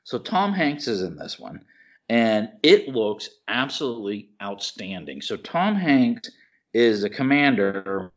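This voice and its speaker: A man's voice: on the low side, big pitch swings, a little slow. Emphatic American man, dull lo-fi sound. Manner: emphatic, opinionated, blunt slangy talk. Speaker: podcast co-host. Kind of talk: casual chat about movies and TV.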